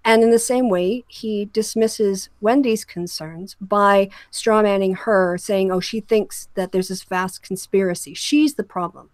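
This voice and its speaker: A woman's voice: medium pitch, steady tone, unhurried, hardly any pauses, no room sound. A woman hosting a discussion show, giving emphatic, critical commentary that sounds like an opinionated rant with direct address.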